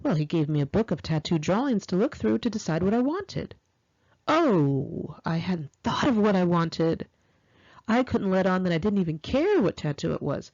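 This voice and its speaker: An American woman reading her own memoir aloud in an expressive, confiding, conversational storytelling style, with girlish slang. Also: fairly low pitch, normal speed, no echo, muffled recording. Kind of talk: first-person audiobook narration, conversational and dramatic.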